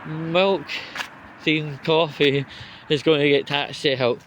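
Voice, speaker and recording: Slow, halting man on a sardonic rant. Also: fairly high pitch, steady tone, a bit bright, lo-fi sound, slight background noise. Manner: sweary, sardonic, halting in short phrases. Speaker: vlogger giving political commentary. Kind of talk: off-the-cuff spoken rant.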